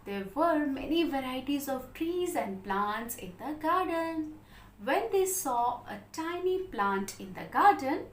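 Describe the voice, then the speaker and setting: High, animated Indian woman teaching children. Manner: sing-song, repetitive, frequent checking questions. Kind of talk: storytelling lesson addressed to young children. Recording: roomy, echoing sound.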